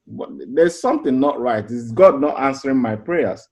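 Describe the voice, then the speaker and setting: A man's voice: medium pitch, animated, moderate pace, darkish tone. A middle-aged man giving an informal motivational class as a life coach, earnest and conversational, often restarting phrases and asking rhetorical questions.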